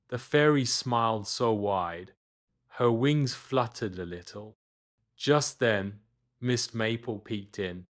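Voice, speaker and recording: A man reading a scripted children's bedtime story as its narrator. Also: mid-range voice.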